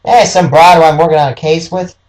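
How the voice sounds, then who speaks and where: A man playing a determined, smooth-talking noir detective hero with terse, slangy speech in a radio play. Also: on the high side, fairly even intonation, a little fast, darkish tone, close to an everyday voice, low-quality recording. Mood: evasive.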